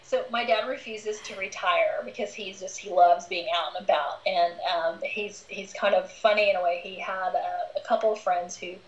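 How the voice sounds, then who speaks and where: A woman's voice: medium pitch, steady tone, moderate pace, few pauses, echoey room, highs cut off, slight background noise. A woman in her forties, a guest in a casual interview, talking candidly and laughing easily. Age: 40s.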